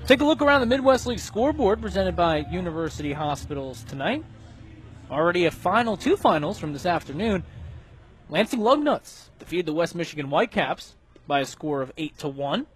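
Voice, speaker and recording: A man's voice: sits high, big pitch swings, brisk. A man doing live baseball radio commentary as the analyst, in a conversational, analytical way. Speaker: color commentator and scoreboard announcer.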